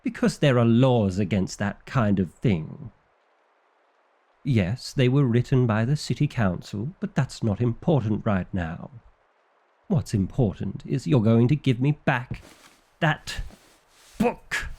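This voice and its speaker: A man's voice: mid-range voice, animated, slow, a little mellow, breathy edge, exaggerated voice. A man playing a fussy, exasperated vampire librarian in an ASMR roleplay, with an indignant, officious and dryly comic delivery. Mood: stern, alarmed.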